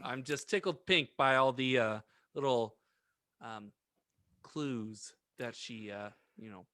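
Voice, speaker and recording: Male co-host, sharp bright voice, medium pitch, chatting casually and haltingly. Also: no room sound, studio quality. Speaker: podcast co-host. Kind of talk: casual banter.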